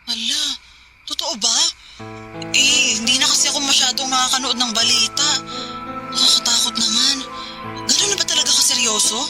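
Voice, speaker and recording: A woman's voice: sits high, normal speed, pauses often, natural voice. Casual Filipino wife over music, woman acting. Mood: concerned. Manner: casual, reassuring, mildly dismissive. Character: calm, skeptical working wife on a phone call. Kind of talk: naturalistic radio drama dialogue.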